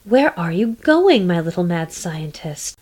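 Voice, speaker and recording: A woman's voice: lowish voice, big pitch swings, measured pace, darkish tone, husky, full character voice. A woman playing a confident, wry techno-powered mastermind in a radio play. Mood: wry, focused. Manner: composed, wry.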